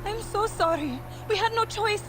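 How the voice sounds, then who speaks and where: Game casting: frantic young priestess, extremely high female voice, distraught and remorseful. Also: normal speed, poor audio. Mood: desperate remorse. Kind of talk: dramatic video game acting.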